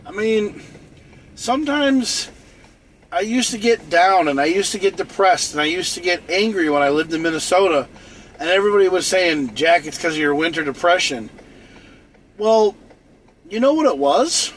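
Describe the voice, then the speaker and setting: A man's voice: high voice, lively intonation, moderate pace. A man in his forties from North Dakota, hosting a live stream in a rambling, repetitive casual monologue, often restarting and repeating phrases. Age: 40s. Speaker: livestream host. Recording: noisy outdoor traffic behind. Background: car and street noise.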